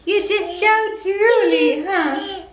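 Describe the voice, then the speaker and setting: A woman's voice: very high-pitched, drawn-out words, dull audio. A woman giving a casual, chatty vlog update as a mother, sometimes slipping into sing-song baby talk. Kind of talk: casual chat to camera. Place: indoor room at home with ceiling fan. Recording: roomy echo, faint background noise.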